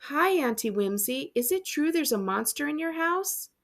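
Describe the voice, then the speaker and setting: A woman plays a young storybook niece, polite and wide-eyed with curiosity. The voice is fairly high with some creak, and the manner is earnest and a little tentative. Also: big pitch swings, normal speed. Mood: curious.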